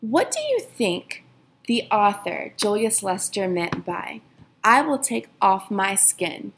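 Gentle woman reading aloud with vocal fry. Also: slow, a little hiss or hum. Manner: gentle, speaks directly to young listeners, asks them questions; expressive, lively pitch swings on questions. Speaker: teacher reading a picture book aloud. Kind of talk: children's read-aloud.

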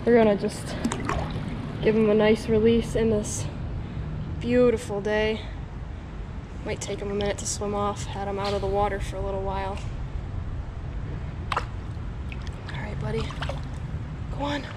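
A woman in her twenties giving excited live commentary while fishing at a creek, gushing with exclamations, recorded on an action camera outdoors. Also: medium pitch, a little slow, long pauses. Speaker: fishing vlogger. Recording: outdoor camera mic with water noise. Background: flowing water.

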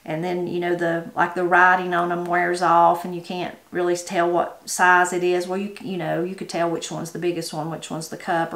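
A woman's voice: lowish voice, gravelly, a bit bright. A middle-aged woman with a Southern Appalachian accent, chatting casually and ramblingly as a home cook in her kitchen. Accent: Southern Appalachian American. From Appalachia, United States. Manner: homespun, rambling, conversational. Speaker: home cook and channel host. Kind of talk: casual unscripted kitchen talk. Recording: roomy, echoing kitchen sound.

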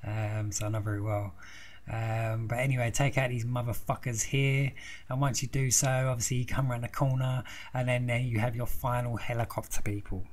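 Young man, hoarse from a sore throat, swearing his way through a casual game guide at an ordinary pitch. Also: little pitch movement, a little fast. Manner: swears constantly, rambling and chatty. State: croaky from a throat infection. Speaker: gaming video host. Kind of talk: casual profane game walkthrough commentary.

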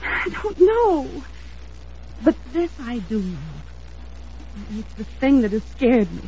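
A woman's voice: a little high, big pitch swings, slow pace, mellow, slight background noise, full character voice. An actress playing an anxious, insistent young woman in an old-time radio drama, speaking in an earnest, emphatic, dramatic manner. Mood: hurt, urgent. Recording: dull lo-fi vintage radio recording.

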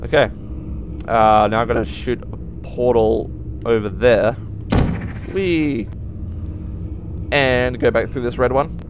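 Excitable young man, slightly hoarse. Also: mid-range voice, takes it slowly, long pauses, background noise. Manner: excitable, sudden exclaims and yelps. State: slightly hoarse, dry throat. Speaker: let's play gamer. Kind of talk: casual gameplay commentary. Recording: voice over game music.

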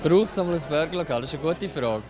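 A man's voice: a little high, animated, quick pace, over music. A man in his thirties with a Swiss German accent, a restaurant owner speaking confidently into a handheld mic at a busy venue. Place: crowded indoor venue. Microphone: handheld reporter mic.

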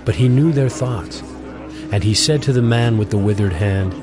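A man narrating scripture for a dramatized audio Bible in a measured, formal storytelling manner. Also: fairly low pitch. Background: music.

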